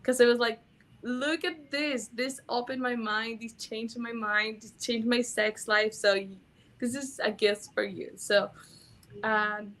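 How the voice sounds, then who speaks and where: A woman's voice: fairly high pitch, steady tone, a little slow, a bit bright. A young woman from Mexico with a Mexican Spanish accent, a native Spanish speaker, talking earnestly about intimacy as a guest, often restarting her phrases. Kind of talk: casual conversational interview.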